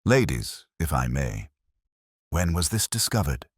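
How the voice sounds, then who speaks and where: A man voicing a polite, unflappable police inspector in a storybook mystery, gentle and precise. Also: rumbling low, very animated, a little slow, breathy edge, dry recording, studio quality, full character voice. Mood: calm, inquiring. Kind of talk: storybook character dialogue.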